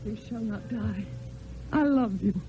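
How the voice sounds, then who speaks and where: An actress playing a tender, grieving lady in an old-time radio play, speaking in earnest, melodramatic, measured phrases. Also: medium pitch, natural voice. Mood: grief-stricken. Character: tender, grieving lady who has long mourned her love. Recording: muffled old radio, music.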